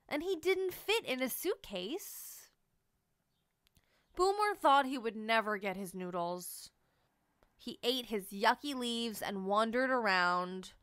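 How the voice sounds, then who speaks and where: A woman reading a children's picture book aloud in an expressive, animated storytelling manner. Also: fairly high pitch, clean tone, no echo, studio quality. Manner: animated storytelling, sing-song. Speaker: read-aloud narrator.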